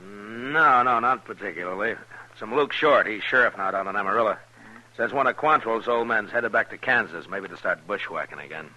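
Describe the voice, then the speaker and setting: An actor playing a steady, watchful marshal in a 1950s radio western, speaking in a dry, laconic way. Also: male voice, lowish voice, fairly quick. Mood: calm, dry. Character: steady, watchful frontier town marshal, grown man. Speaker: lead actor. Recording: noisy old radio western recording.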